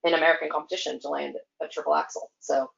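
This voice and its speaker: A woman with a notably deep voice speaks as a podcast guest. She is chatty and loose, full of fillers and quick laughs. Her voice sounds somewhat muffled and lo-fi. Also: fairly even intonation, creaky, a bit bright. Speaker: guest, skating association staff. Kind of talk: casual podcast interview.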